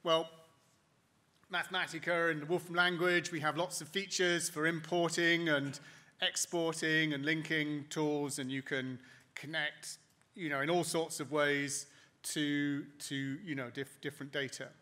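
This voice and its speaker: Man, keynote speaker, bright tone, slightly high pitch, stumbling restarts, technical talk. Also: level tone. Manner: halting, many repeated words and restarts. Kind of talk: technical presentation with slides. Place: conference hall, voice over screen capture.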